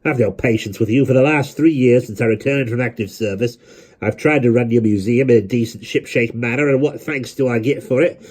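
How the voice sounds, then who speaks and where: A man's voice: medium pitch, a little fast, a little mellow, slightly rough, pitched above the performer's own voice, close to an everyday voice. A man voicing a stern, proud old museum keeper who speaks in a cold, clipped, formal way. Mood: exasperated. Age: older.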